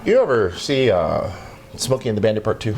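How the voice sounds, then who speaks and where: A man's voice: sing-song, a little fast, noisy background. A man hosting a wrestling talk show, running through the news and needling his co-host. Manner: teasing, roasts his co-host, pitches the show to viewers.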